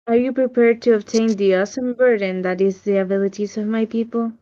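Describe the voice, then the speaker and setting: A woman's voice: a little high, steady tone, a little fast, warm tone, natural voice. A woman playing a commanding, unsentimental witch mentor in a screenplay table read. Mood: solemn. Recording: muffled online meeting audio.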